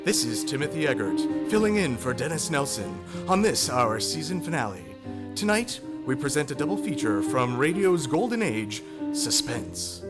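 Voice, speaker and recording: A man's voice: fairly high pitch, big pitch swings, normal speed, loud music behind the voice. A man hosting as announcer in a theatrical old-time radio style, with a grandiose, showmanlike delivery. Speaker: host, announcer.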